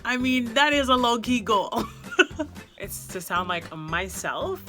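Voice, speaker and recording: A woman's voice: on the high side, expressive, takes it slowly, music in the background. A woman, an opera singer, talking to camera in a chatty vlog style and laughing often.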